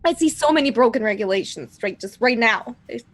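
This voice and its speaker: A woman's voice: on the high side, animated, brisk. A woman playing a tabletop roleplaying game, speaking casual dialogue in a fussy, chatty way. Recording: faint background noise.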